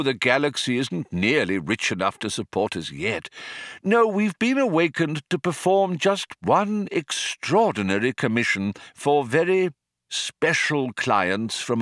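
A man's voice: medium pitch, big pitch swings, measured pace, a bit bright, dry recording, clean studio sound, pitched above the performer's own voice. A man voicing an elderly alien planet builder in an audiobook, genial, polite, mildly vague and cheerfully understated. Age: older. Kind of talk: audiobook character voice.

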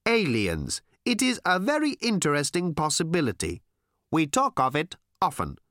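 A storyteller voicing a naive, earnest space alien who speaks in stilted, literal, drawn-out phrases. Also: mid-range voice, huge pitch swings, fairly bright tone, no echo, clean studio sound, pitched above the performer's own voice. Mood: earnest, blank.

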